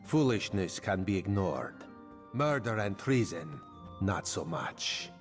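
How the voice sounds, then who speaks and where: An actor playing a solemn cat-man royal agent and executioner in a video game, speaking in a measured, formal way. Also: low voice, sing-song, full character voice. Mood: cold, stern. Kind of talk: video game character acting. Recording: music behind.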